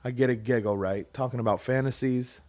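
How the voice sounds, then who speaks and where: A man's voice: medium pitch, a little fast. Rambling man in his thirties. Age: 30s. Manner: rambling, animated, acts out quoted people. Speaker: podcast co-host. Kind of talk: casual chat, storytelling.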